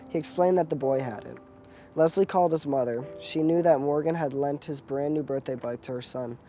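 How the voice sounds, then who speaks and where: A man reads memoir prose in a dark, mellow voice that sits a little high. Faint music runs behind the reading. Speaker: reader of a memoir. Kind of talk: scripted narration, audiobook reading.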